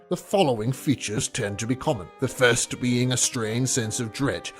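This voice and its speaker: A bookish male researcher, acted by a man in an audio story, lecturing on an old legend. His delivery is formal and scholarly at a medium pitch. Music plays beneath his voice. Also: close to an everyday voice. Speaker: narrator. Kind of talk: scripted lecture-style story narration. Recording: music under the voice.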